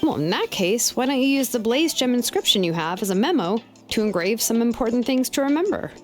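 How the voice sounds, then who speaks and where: A woman playing an easygoing young female blacksmith in a video game, with a relaxed, wry way of talking. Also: on the high side, brisk. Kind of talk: video game character acting. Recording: game music behind. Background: game music.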